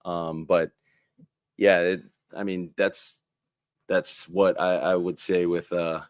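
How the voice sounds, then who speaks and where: A man from Hawaii answering an interview question, halting and searching for his words. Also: sits low, little pitch movement, no echo, studio quality. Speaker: football player in interview.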